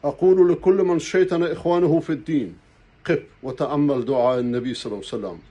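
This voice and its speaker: Older male preacher, husky mellow voice. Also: on the high side, highs cut off. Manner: slow, measured recitation of formal Arabic prayers. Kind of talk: Friday sermon. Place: small indoor room. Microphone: clip-on lapel mic.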